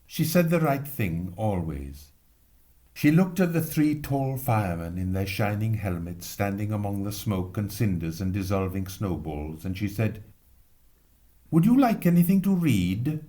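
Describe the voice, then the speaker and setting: A man reading a literary story aloud with expressive narration. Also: lowish voice, measured pace. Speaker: reader.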